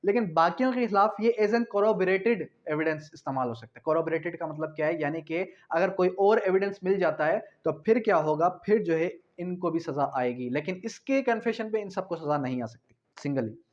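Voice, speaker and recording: A man's voice: high voice, moderate pace, hardly any pauses, dull audio. A man teaching law in an informal, explanatory way, repeating key points and mixing in English terms. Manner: switches between Urdu and English terms. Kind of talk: informal exam-prep lecture.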